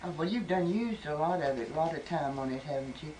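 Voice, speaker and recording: An elderly woman with a slightly low voice talks casually with her family. She is folksy and likes to tease and joke. It sounds like an amateur home video, with faint noise behind her. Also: expressive, fast talker, mellow, low-quality recording. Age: 70s. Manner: folksy, joking, teasing. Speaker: grandmother being filmed at home. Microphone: camcorder microphone across the room.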